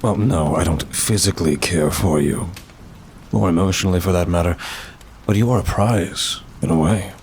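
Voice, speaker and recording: A man playing a weary, sardonic demon in an ASMR roleplay, with a dry, haughty delivery and drawn-out sighs. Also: deep voice, a little mellow, slight background noise. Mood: disdainful. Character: weary, sardonic male demon, aloof bureaucrat of damnation.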